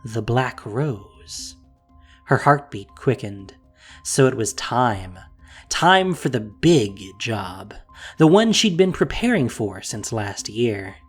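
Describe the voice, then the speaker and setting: A man narrating a fantasy audio drama with expressive storytelling. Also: mid-range voice.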